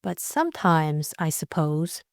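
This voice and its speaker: Woman playing a persistent young lady sleuth, lowish voice. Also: big pitch swings, unhurried, dry recording, clean studio sound, heavily acted. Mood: polite, persistent. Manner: polite, probing, direct. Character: spirited, persistent young upper-class lady amateur sleuth.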